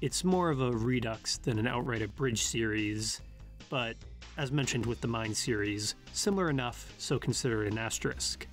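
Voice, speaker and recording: Male narrator for analytical video essay, formal and measured, mid-pitched, faint music underneath. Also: a bit bright.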